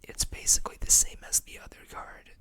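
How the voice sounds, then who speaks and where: An ASMR host whispering in an unhurried way, reading text aloud and adding small asides. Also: pushed and bright. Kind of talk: whispered ASMR card showcase.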